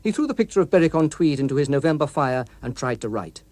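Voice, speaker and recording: A man narrating an audiobook story in a precise, expressive, literary manner, recorded in a studio. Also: a little high, fast, no echo. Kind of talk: scripted dramatic story narration.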